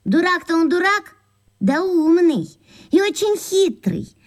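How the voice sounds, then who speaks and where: High-voiced, bossy tough little girl. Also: sing-song, unhurried, heavily acted. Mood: grumbling. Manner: blunt, colloquial, dismissive. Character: tough, bossy, sturdy young girl, contrary and scornful. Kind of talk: children's radio play acting.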